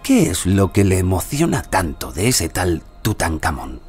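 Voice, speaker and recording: An actor playing a whimsical, exuberant, sickly lord in an audio drama, with a courtly, droll manner. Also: male voice, deep voice, big pitch swings, a little slow, a little mellow, soft background music. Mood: courteous, frank. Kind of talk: Spanish-language audio drama acting.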